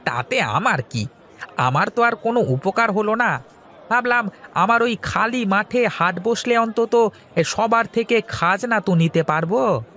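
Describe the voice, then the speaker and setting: A man's voice: sits high, expressive, a little slow, pushed and bright, heavily acted. A man voicing a scheming, self-pitying village underling in a comic cartoon, whiny and exclamatory. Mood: bitter, scheming. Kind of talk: exaggerated cartoon voice acting.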